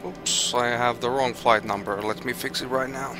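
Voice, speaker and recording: A man's voice: mid-range voice, steady tone, normal speed, background noise. A man with a Finnish accent streaming a flight simulator, thinking aloud and reading out weather and route figures with hesitant restarts. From Finland. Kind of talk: live gameplay commentary, thinking aloud.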